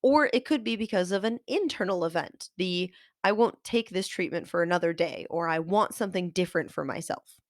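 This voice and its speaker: A woman podcast host and author, sounding nasal and congested from a cold, giving a measured, scripted lesson on fiction writing. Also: fairly low pitch, no echo, studio quality.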